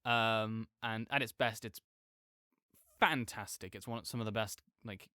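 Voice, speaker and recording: A man playing an opinionated gamer roommate in an audio roleplay, ranting casually and haltingly, often correcting himself with apologetic asides. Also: on the low side, fairly even intonation, sharp tone, no echo, clean studio sound. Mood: rambling, opinionated. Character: opinionated gamer roommate ranting good-naturedly about games. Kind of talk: rambling casual rant, sleep-aid audio roleplay.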